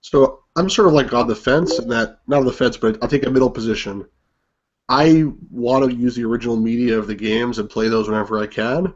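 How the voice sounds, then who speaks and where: Mid-pitched explanatory man, dull lo-fi sound. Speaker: collector panelist. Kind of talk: casual discussion. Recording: dull lo-fi video-call audio.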